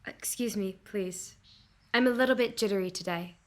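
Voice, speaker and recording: A woman's voice: mid-range voice, moderate pace, natural voice. An actress playing a struggling, jittery young woman in love in a Depression-era radio play, earnest and guarded. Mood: earnest, uneasy. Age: young adult.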